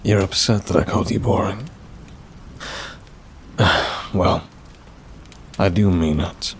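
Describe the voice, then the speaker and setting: A man's voice: sits low, takes it slowly, slight background noise. A man voicing a bored, condescending demon in an intimate ASMR roleplay, with a dry, languid, faintly mocking delivery. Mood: languid, wry. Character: bored male demon with claws and fangs, wry, condescending.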